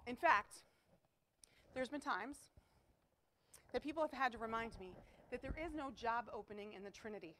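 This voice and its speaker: A middle-aged woman preaching a chapel sermon to students in an auditorium, emphatic and animated, asking rhetorical questions, picked up by a distant camera. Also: fairly high pitch, moderate pace, pauses often, a little hiss or hum. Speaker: chapel speaker. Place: school chapel auditorium with stage.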